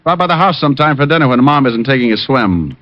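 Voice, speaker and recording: An actor playing a wisecracking private detective who also narrates, in an old radio drama with dry, easy delivery. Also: male voice, medium pitch, lively intonation, fast, a little hiss or hum, lightly acted. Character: wisecracking male private eye. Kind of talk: 1940s radio acting. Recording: dull vintage radio recording with music.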